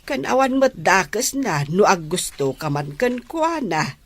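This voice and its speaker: A woman's voice: medium pitch, very animated, slow pace. A woman playing a caring middle-aged mother in a radio drama, giving tender, earnest counsel. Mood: gently probing. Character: gentle, caring middle-aged mother advising her daughter.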